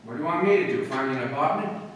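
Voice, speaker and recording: A man playing a gruff, sarcastic police lieutenant in an old-time radio play, speaking curtly. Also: fairly high pitch, lively intonation, fast, a bit bright. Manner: curt, sardonic. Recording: echoey hall, distant mic, audience noise.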